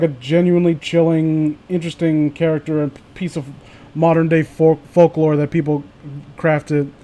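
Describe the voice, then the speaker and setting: A man's voice: fairly high pitch, dark tone. A man hosting a livestream, chatting casually with friends and viewers in a sardonic, profane way. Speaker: livestream host.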